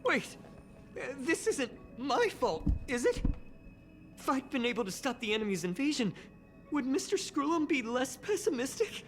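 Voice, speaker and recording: A woman's voice: high-pitched, very animated, moderate pace. A woman voicing an anxious, earnest, self-doubting young boy assistant in a video game, nervous and hesitant. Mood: panicked, worried. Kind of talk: scripted video game dialogue. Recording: game music behind.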